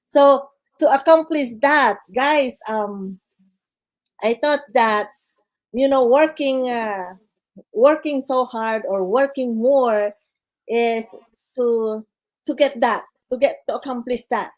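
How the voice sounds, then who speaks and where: Older filipino woman guest, slightly high voice, halting delivery with many fillers, chatty. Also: low-quality recording. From Philippines. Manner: halting, many "uh" fillers, says "guys" and "okay" often. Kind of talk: casual interview storytelling.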